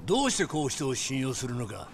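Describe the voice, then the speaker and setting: A smug, scheming yakuza villain. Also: male voice, medium pitch, sing-song, brisk, gravelly, background noise. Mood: contemptuous. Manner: polite speech that turns openly contemptuous. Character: cold, scheming, smug middle-aged male yakuza villain. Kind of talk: dramatic Japanese video game cutscene acting.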